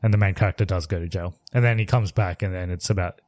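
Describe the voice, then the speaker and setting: A man's voice: low-pitched, steady tone, quick pace, a little mellow, dry recording. A man co-hosting a podcast, casually retelling a movie plot in a loose, rambling way with restarts. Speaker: podcast co-host. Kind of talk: casual chat reviewing movies.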